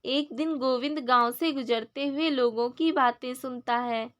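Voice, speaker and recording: A woman with a clearly high voice reads a scripted children's tale. She is the storyteller, presenting a moral story as narration. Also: measured pace.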